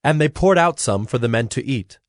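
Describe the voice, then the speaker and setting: A man reading scripted Bible narration in a measured, solemn manner. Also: mid-range voice, lively intonation, dry recording, clean studio sound.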